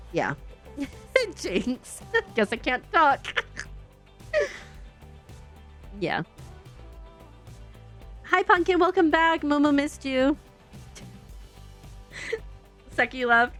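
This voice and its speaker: A woman's voice: on the high side, a bit bright. Chatty young woman streamer, faint music. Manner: chatty, upbeat, giggly, talks to herself while building. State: slightly congested. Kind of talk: casual chat while playing a game.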